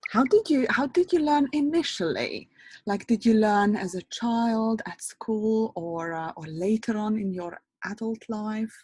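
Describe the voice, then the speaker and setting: A woman's voice: mid-range voice, lively intonation, measured pace, a little mellow, husky, no room sound. A woman hosting a podcast interview, asking encouraging questions. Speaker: podcast host.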